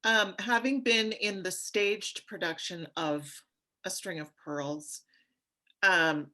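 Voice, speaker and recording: Older-ish actress lady chatting about old shows, bright clear-cutting voice, kinda slow talker. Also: fairly low pitch, fairly even intonation. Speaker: actress, cast member. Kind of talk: casual chat, reminiscing.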